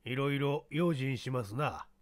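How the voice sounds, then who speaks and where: A man playing a shrewd, self-assured baseball scout in a mystery story reading, explaining himself in a measured, worldly way. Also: mid-range voice, natural voice. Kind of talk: radio-drama style mystery reading.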